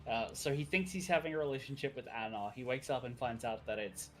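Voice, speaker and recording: A man in casual chat on a co-op game stream, mid-pitched and rambling. He laughs often and drifts between asides. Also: fast talker, fairly bright tone. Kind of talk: casual chat while gaming.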